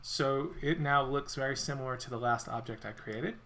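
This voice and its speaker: A man walking through a software feature in a screen-recorded demo, explaining step by step, his voice a little worn as he clears his throat. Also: mid-range voice, normal speed, a little hiss or hum. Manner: relaxed explanatory walkthrough with self-corrections. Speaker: software product presenter.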